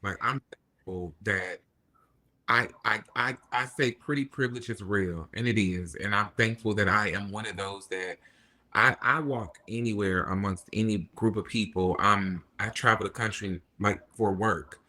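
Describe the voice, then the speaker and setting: A man talking as a podcast guest in a casual chat, telling lively stories and acting out what other people said. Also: fairly low pitch, normal speed. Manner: animated storyteller, acts out quoted people.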